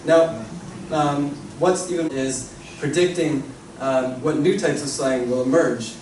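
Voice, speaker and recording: A man's voice: mid-range voice, slow. A man giving a short scripted talk as a presenter, with some hesitant fillers. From Canada. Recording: echoey hall, mic at a distance, background noise.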